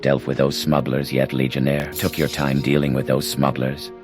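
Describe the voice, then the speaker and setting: A man's voice: extremely low pitch, monotone, moderate pace. A man voicing a brusque, officious slave catcher in a video game, curt and condescending. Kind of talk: video game character dialogue. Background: game music.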